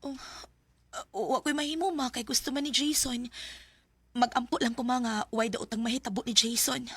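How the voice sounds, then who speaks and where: A woman playing a gentle, shy young woman in a Bisaya radio drama, speaking softly and hesitantly with a Bisaya accent. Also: on the high side, steady tone, normal speed. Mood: resigned. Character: Murmur, gentle shy young woman, later a childless wife.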